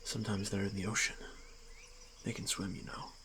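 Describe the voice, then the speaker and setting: A man voicing a stoic, protective orc warrior in a fantasy ASMR roleplay, speaking in terse, measured phrases. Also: fairly low pitch, level tone, noisy background, voice pushed down, lightly acted. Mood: matter-of-fact, explaining. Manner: measured, halting, terse phrases with solemn pauses.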